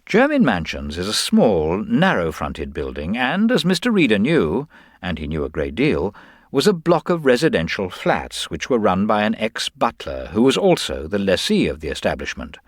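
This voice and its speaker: Male narrator, very low voice, wry, measured, dry close sound, scripted detective fiction. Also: wildly swooping pitch, no room sound.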